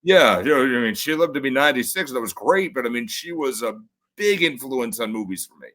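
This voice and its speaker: A man with a bassy voice, a podcast guest in casual conversation, halting with many fillers and restarts. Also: expressive, fairly bright tone.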